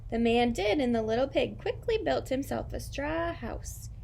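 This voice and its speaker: A woman teacher reading aloud and talking directly to young children in simple, expressive language. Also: high-pitched, normal speed, gravelly, a little hiss or hum. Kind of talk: children's read-aloud and lesson.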